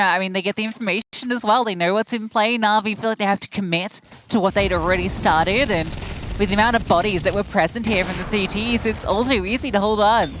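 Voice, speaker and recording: A woman's voice: medium pitch, fairly bright tone. A woman giving chatty, analytical color commentary on a live esports match. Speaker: esports caster.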